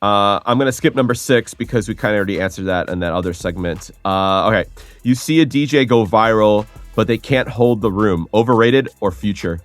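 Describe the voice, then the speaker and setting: Low, dry-voiced man quizzing guests. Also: no echo, soft background music. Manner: poses quick either-or questions. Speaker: DJ and podcast host. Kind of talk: casual podcast chat, reading out questions.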